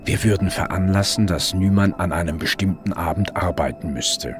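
An actor playing a brooding middle-aged police detective, narrating his case in a measured way for a crime radio drama. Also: deep voice, close to an everyday voice. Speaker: narrator and lead investigator. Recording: music bed under voice. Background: music.

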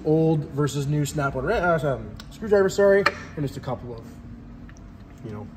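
Young guy, slightly high voice, loose and chatty, phone-recorded in a shop. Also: background noise. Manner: laid-back, rambling, swears casually. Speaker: heavy diesel mechanic. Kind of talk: casual tool box tour. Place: large shop garage.

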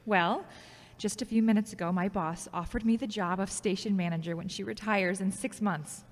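A woman playing a confident, ambitious radio journalist with a teasing, warm manner in an audio drama. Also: medium pitch, normal speed, lightly acted. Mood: proud, probing. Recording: echoey hall-like reverb.

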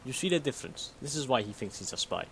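A man playing a secretive, guarded synth copy of a Soviet spy in a game mod, explaining in a measured way. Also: medium pitch, sing-song, fairly bright tone. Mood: guarded, explanatory. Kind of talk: scripted game mod dialogue. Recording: noticeable background noise.

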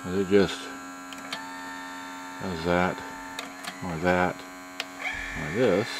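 Low, slow rambling man on noisy recording. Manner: rambling, muttering to himself. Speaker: amateur electronics hobbyist. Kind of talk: casual hands-on demonstration of audio gear. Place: indoors in a room full of audio equipment. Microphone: handheld camera microphone. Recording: noisy handheld camera audio with clicks.

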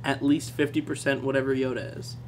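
A man co-hosting a podcast in casual chat. He is excitable and laughs often. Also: medium pitch, fairly quick, slight background noise. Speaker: podcast co-host.

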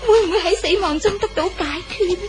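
An actress with a Hong Kong Cantonese accent playing a sorrowful, reflective young woman in a 1970s radio drama, speaking in a measured, wistful way. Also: very high voice, over loud music.

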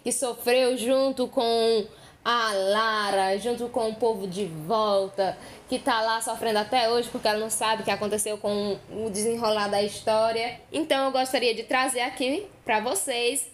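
A woman's voice: fairly high pitch, steady tone, moderate pace, slight background noise. A woman hosting a podcast in an informal, conversational storytelling way. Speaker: podcast host. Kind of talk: casual podcast narration.

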